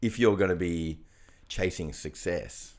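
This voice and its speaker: A man's voice: low-pitched, measured pace. A man co-hosting a podcast, chatting casually and reflectively. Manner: conversational, reflective, drops into quoted voices. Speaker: podcast co-host. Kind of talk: casual chat.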